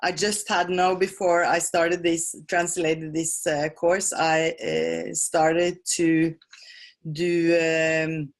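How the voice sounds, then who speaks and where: A woman with a fairly low voice hosts a live online class from home, speaking English as a second language. She takes her time, pausing often on fillers and restarting her sentences, and signs off in a friendly way. Her voice comes through a computer mic on the call. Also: little pitch movement. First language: not English. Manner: halting, with many fillers and self-corrections. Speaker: feng shui and decluttering teacher hosting a webinar. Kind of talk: live webinar sales pitch and Q&A. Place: home on a small island.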